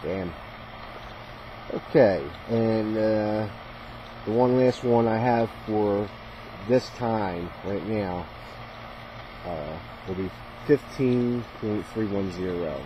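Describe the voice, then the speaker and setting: A man talks casually as an amateur radio hobbyist, in a fairly low voice at a very slow pace. He is informal and halting, feeling his way through each phrase. Clear background noise runs behind him. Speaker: shortwave radio hobbyist and video host. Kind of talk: casual narration of radio tuning.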